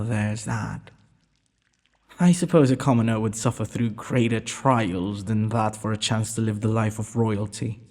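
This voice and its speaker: A man playing a gentle, courteous young prince in a romantic audio roleplay, speaking formally and a little hesitantly. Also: fairly low pitch. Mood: thoughtful. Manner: formal, polite, breathy speech with hesitations. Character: gentle, courteous young underground prince, nervous, earnest suitor. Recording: long hall-like reverb.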